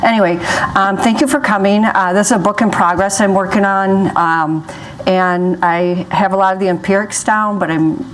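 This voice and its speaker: A woman giving an academic lecture in a conversational, relaxed way, speaking into a microphone in a lecture room. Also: mid-range voice, normal speed, slight background noise, poor audio. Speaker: guest lecturer.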